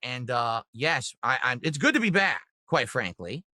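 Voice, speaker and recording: A man's voice: medium pitch, very animated, measured pace, pushed and bright, no echo, studio quality. A man talking casually on his livestream, rambling defensively and reading out viewer tips. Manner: rambling, defensive, self-deprecating. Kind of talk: casual stream talk.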